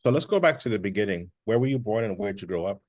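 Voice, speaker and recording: Man host, low voice, short plain questions. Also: animated, quick pace, darkish tone. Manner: plain, brief questions with fillers. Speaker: podcast host, interviewer. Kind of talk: interview questions.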